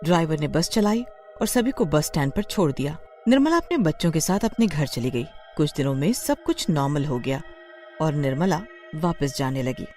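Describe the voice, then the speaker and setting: A woman's voice: low voice, animated, moderate pace, darkish tone, soft background music. A woman narrating a scripted horror story as a storyteller.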